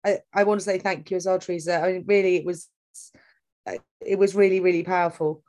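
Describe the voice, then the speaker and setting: Low-voiced chatty woman. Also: steady tone, darkish tone. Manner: chatty, halting with fillers. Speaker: workshop participant.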